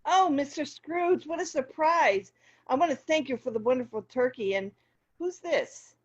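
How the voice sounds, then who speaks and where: A woman's voice: high voice, expressive, moderate pace. A woman playing an astonished, thankful housewife and mother in an amateur table read. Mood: surprised, thankful. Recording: dull video-call audio.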